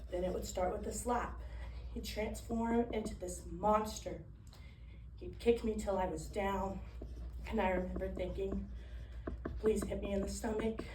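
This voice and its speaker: A woman playing a wounded, plain-spoken young woman in a dramatic monologue, speaking in a subdued, deliberate, confiding way. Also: medium pitch, dark tone, close to an everyday voice. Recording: echoey room, distant microphone, faint noise.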